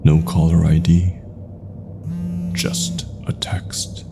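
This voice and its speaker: A man narrating a scripted horror story with deliberate pauses, his phrases sometimes trailing into hushed breath. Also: deep voice, very animated, vocal fry, very noisy.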